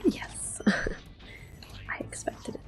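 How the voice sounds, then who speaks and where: Female ASMR gamer, slow whispered play-by-play, faint game music behind. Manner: soft whispering, unhurried and gentle. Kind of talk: whispered gameplay commentary. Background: soft game music and controller clicks.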